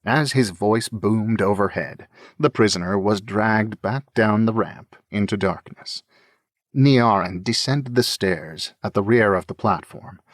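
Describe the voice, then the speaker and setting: A man's voice: on the low side, measured pace, no room sound, clean studio sound. A man reading scripted fantasy narration as an audiobook narrator.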